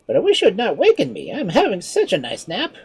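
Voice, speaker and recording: A woman's voice: medium pitch, huge pitch swings, fast talker, a little mellow, slightly rough, voice pushed up, exaggerated voice. A woman voicing a clever, playful male monkey in a storybook reading, with a chirpy, teasing manner. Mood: mocking.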